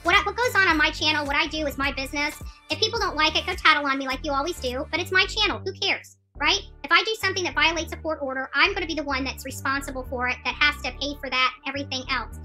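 Sharp, high-pitched woman on a confrontational livestream. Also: fast talker, hardly any pauses, faint music behind. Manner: confrontational, scolding. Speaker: livestream channel host. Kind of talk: indignant livestream rant to viewers. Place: small room indoors, seated on a couch.

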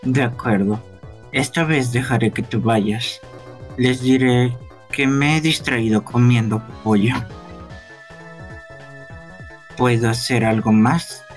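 A man playing a grave, reluctant police detective in a halting, sombre game fan dub. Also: medium pitch, faint music behind, close to an everyday voice. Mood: firm, resigned. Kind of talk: live fan dubbing of a visual novel game.

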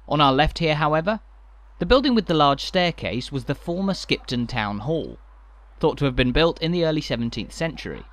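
A man's voice: on the high side, no room sound. Dry-voiced man narrating a town walk. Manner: measured, enthusiastic guide. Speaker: walking tour narrator. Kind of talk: scripted travel narration.

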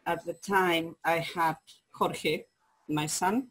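Chilean woman on a video call, slow. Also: fairly low pitch, fairly even intonation, a bit bright, slightly rough. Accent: Chilean Spanish. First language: Spanish. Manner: hesitant, many ums and restarts, laughs easily. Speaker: guest, publisher. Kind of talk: conversational interview. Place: home study with bookshelves.

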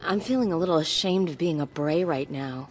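An actress playing a defensive, hurt younger sister in a video game, speaking in a pointed, incredulous way. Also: female voice, sits low, a little fast, a little hiss or hum, close to an everyday voice. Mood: hurt, ashamed. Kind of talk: dramatic video game acting. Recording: game music underneath. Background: music.

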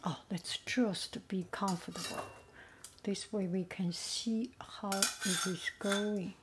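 A woman's voice: mid-range voice, steady tone, slow, noisy background. A woman giving a casual home cooking demo, thinking aloud with many fillers. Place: home kitchen at a gas stove.

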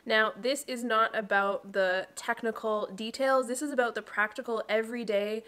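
A woman's voice: fairly high pitch, fairly even intonation, normal speed, clear, resonant tone. Slightly nasal woman teaching to camera. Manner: warm, explanatory, with chatty asides. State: nasal from allergies. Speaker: wellness coach and channel host. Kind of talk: educational talk to camera. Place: home living room.

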